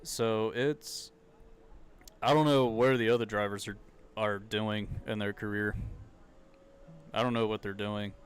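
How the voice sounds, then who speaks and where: A man's voice: lowish voice, steady tone, measured pace, pauses often, smooth. Southern man chatting over a race. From American South. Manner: chatty, loose, laughs often, says dude and like. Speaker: live stream race commentator. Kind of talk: casual live reaction commentary.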